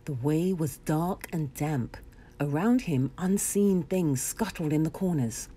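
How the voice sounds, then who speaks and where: A woman reading a children's storybook aloud in an expressive narrating style. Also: sits low, measured pace, slightly rough.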